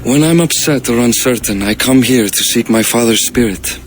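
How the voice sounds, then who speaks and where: A man's voice: medium pitch, moderate pace, faint music behind, lightly acted. An actor playing a middle-aged Viking-age king and stern, worried father in a video game. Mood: pensive, fond. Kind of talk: dramatic video game cutscene acting.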